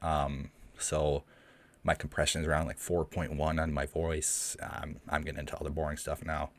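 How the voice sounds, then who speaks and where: A young man with a deep voice hosts a casual live Q&A, rambling with many ums and restarts, and his voice shakes a little from the cold. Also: monotone, moderate pace. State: shaky voice from the cold. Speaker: streamer hosting a live Q&A. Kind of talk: casual livestream chat. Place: cold room at home. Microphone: home streaming mic.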